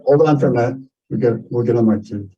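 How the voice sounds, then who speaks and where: A man with a very dark, muted tone chairs a community meeting. He speaks informally at a middle pitch as he directs the discussion. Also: quick pace, dull audio. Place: community meeting, captured on a video call.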